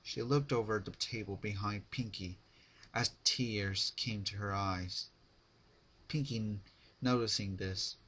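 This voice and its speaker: A man's voice: lowish voice, bright, forceful tone, slightly rough. A man narrating a horror fan-fiction story as an amateur dramatic reading.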